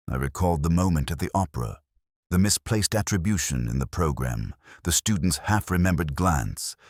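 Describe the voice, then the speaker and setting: Male actor, cerebral detective, extremely low voice, contemplative scripted mystery narration, measured literary delivery, dry close sound. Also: no echo, exaggerated voice.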